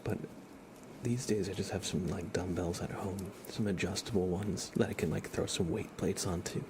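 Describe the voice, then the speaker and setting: Hushed, creaky low-voiced man doing ASMR. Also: fairly even intonation, normal speed, background noise. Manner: hushed, gentle, often drifting into whisper. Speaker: ASMR live streamer, host. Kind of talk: soft-spoken ASMR livestream, casual chat and tarot reading.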